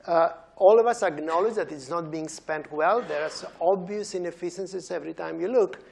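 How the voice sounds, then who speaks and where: Greek-accented middle-aged male professor, gravelly high voice, easygoing lecture delivery in a big hall. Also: brisk, slight background noise. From Greece. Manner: relaxed, pacing the stage and pointing at slides. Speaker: professor giving a seminar talk. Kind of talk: university lecture. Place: large lecture auditorium.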